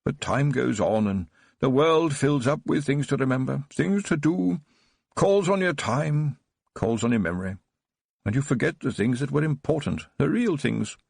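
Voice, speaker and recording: A man's voice: medium pitch, animated, moderate pace, creaky, darkish tone, breathy edge, no room sound, studio quality, voice pushed up. A male narrator voicing a frail, elderly nobleman who recalls his childhood with trembling wonder, in a storybook reading. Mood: dreamy. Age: older. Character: frail old man, tearful then joyful, noble.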